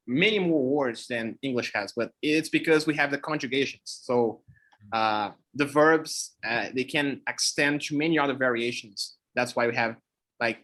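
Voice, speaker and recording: A man's voice: medium pitch, fairly bright tone. A young man whose first language is Brazilian Portuguese, speaking English with a Brazilian accent in a casual chat, thinking aloud and searching for words as he goes. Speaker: co-host teaching expressions.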